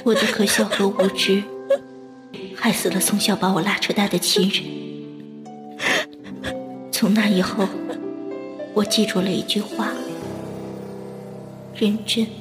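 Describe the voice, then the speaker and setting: A woman's voice: medium pitch, level tone, unhurried, pauses often, close to an everyday voice. A woman acting a naive, heartbroken young woman in a Chinese audio drama, pleading and emotional. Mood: grieving, bitter. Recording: loud music under the voice.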